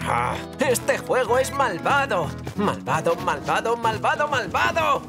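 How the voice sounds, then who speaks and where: A woman's voice: on the high side, sing-song, a little slow. A woman voicing a stubborn, whiny boy gamer in a cartoon dub, exclamatory, with a Castilian Spanish accent. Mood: frustrated. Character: boy obsessed with video games, stubborn, dramatic. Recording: music under the dialogue.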